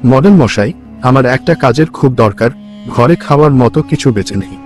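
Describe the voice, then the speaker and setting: A man's voice: medium pitch, expressive, a little fast, faint music behind, lightly acted. Poor village husband for a cartoon, played by a man: mid-range voice, meek and earnest. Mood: pleading. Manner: humble, earnest. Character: poor, weak, pleading husband seeking work. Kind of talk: cartoon story dialogue.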